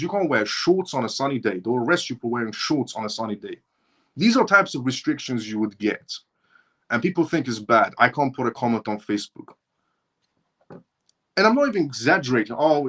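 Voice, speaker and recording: A man's voice: mid-range voice, fairly bright tone. A man from Iran hosting a livestream, giving an impassioned opinion monologue that hammers points through repetition and restarts mid-phrase. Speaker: livestream host.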